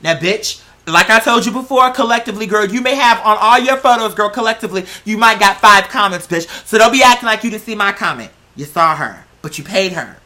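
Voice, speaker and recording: A man's voice: high voice, lively intonation, brisk, hardly any pauses, pushed and bright. A man telling personal stories in a casual, slangy rant, with a sassy, confrontational and emphatic manner.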